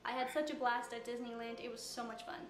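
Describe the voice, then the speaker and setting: A teenage girl from Canada with a high-pitched voice, chattily answering fan questions in a casual Q&A. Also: level tone, fast, roomy sound, soft background music. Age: teens. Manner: chatty, rambling with fillers. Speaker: YouTuber answering Q&A. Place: room with couch, recorded in a reacted video.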